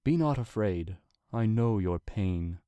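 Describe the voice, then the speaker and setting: A man voicing a gentle, regal, ancient stallion king in a dramatic story reading, speaking slowly, formally and reassuringly. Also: on the low side, animated, clean tone, dry recording. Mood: encouraging. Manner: slow, deliberate, formal, reassuring. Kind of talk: dramatic fan fiction reading.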